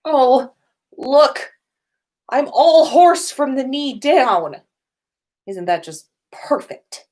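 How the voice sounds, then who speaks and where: Lady doing a teen wizard boy, fed up n sarcastic, pitched up, very animated, storybook reading. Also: very slow, long pauses, full character voice. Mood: exasperated. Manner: indignant, loud, sarcastic. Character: exasperated, sarcastic, foul-mouthed teenage wizard boy.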